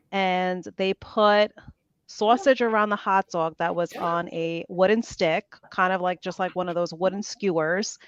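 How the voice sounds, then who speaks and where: A woman guest in a casual chat, telling a story in an animated way and quoting her own thoughts. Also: mid-range voice, clean tone, no room sound, highs cut off.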